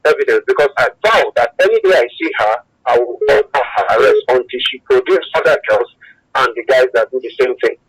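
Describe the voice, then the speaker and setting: A man's voice: mid-range voice, lively intonation, fairly quick, a bit bright, breathy edge. A Nigerian-accented man calling a radio phone-in, giving impassioned opinions emphatically and repeating his phrases over a phone line. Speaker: phone-in caller, broadcast journalist.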